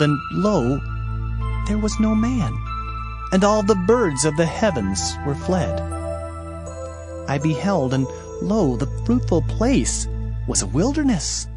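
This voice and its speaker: A man playing an anguished Hebrew prophet in a dramatized scripture reading, lamenting and pleading with theatrical emphasis. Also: on the high side. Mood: awed lament.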